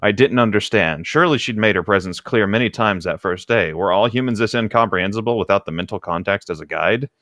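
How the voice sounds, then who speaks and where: Low-voiced man reading aloud and riffing. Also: quick pace, few pauses, a bit bright, no echo, studio quality. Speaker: co-host of a comedic read-along show. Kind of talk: book read-aloud with casual joking commentary.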